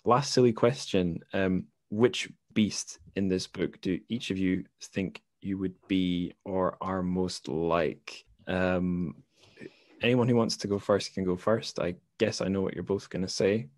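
A man's voice: low voice, steady tone, a little slow, dry recording. A man hosting a podcast in casual conversation, speaking hesitantly and with self-deprecation. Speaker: podcast host. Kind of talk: casual interview chat.

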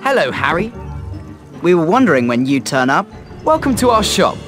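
A man's voice: on the high side, very animated, normal speed, fairly bright tone, soft background music. A man voicing a cheeky teenage wizard who runs a joke shop in a fantasy game, with mock-grand sales patter. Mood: playful, selling. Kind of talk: British fantasy game acting.

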